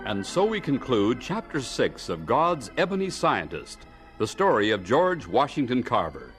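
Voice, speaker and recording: A man's voice: medium pitch, huge pitch swings, moderate pace. A man with an American accent reading a formal scripted radio announcement. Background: organ music.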